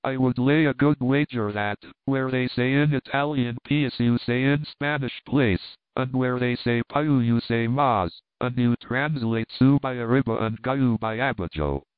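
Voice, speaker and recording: A man's voice: mid-range voice, measured pace, few pauses, smooth, no echo, lightly acted. A man voicing a courtly, bookish old knight who speaks in elaborate, declamatory phrases. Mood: grandiloquent. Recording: tinny phone-like sound, dry.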